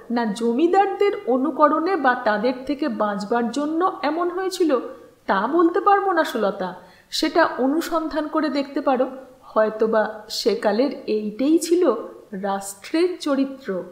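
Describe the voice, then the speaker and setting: Female audiobook narrator, high-pitched and unhurried, expressive deliberate phrasing, echoey room. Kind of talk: expressive literary story narration. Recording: roomy, echoing voice recording.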